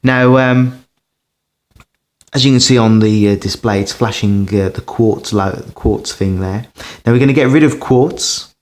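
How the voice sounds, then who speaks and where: A man giving a casual hands-on demonstration as a record collector, pausing and hesitating as he talks. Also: fairly low pitch. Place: indoors beside a turntable.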